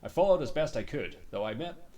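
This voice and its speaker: Man, game streamer, theatrical book reading, lively swooping intonation, sweary muttered asides, slightly off-mic. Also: medium pitch, brisk, distant mic. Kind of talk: dramatic reading of in-game books.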